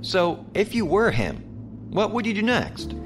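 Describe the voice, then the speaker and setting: Seasoned mercenary captain, sci-fi audio drama: sardonic, probing, very varied intonation over clear music. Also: male voice, high-pitched, big pitch swings, measured pace. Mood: teasing. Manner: teasing, probing. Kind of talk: science fiction audio drama acting. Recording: music behind the voice.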